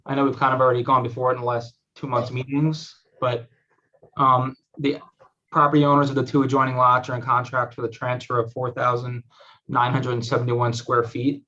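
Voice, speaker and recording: Male attorney, medium pitch, polite and businesslike presentation, slightly muffled conference-call sound. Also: little pitch movement, a little fast, bright, forceful tone. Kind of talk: semi-formal explanatory presentation. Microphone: video call. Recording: slightly dull video-call audio.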